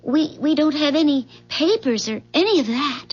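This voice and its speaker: An actress playing a timid, secretive neighbor in a 1970s radio drama, speaking in a halting, guarded way. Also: female voice, high-pitched, lively intonation. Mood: reluctant, anxious. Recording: muffled vintage radio broadcast.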